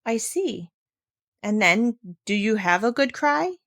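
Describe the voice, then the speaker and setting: A woman reading aloud voices a kindly older male doctor in a storybook, speaking in a gentle, soothing way. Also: fairly high pitch, big pitch swings, slow pace, heavily acted.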